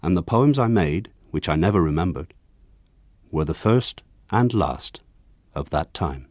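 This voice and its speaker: An actor playing an older man recalling his village childhood in measured, reflective, lyrical radio drama narration. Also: deep voice, lively intonation, a little mellow, dry recording, clean studio sound. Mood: wistful, elegiac.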